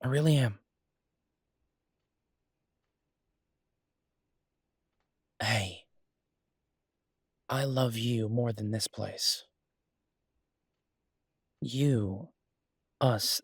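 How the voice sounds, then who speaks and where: A man playing a devoted, easygoing chef and reassuring partner in an intimate audio roleplay, speaking softly and haltingly with a husky voice. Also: mid-range voice, no echo, studio quality. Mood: earnest, reassuring.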